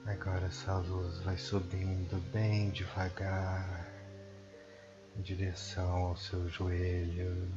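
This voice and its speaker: A man with a Brazilian accent leads a guided sleep meditation in a soothing, hushed manner with long pauses. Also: low voice, lo-fi sound. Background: prominent meditation music.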